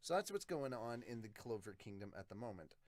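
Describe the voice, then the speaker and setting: A man's voice: fairly low pitch, very animated, a little fast, dry recording. Casual male podcast host, dry close recording. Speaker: host of a manga review podcast. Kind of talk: casual review commentary.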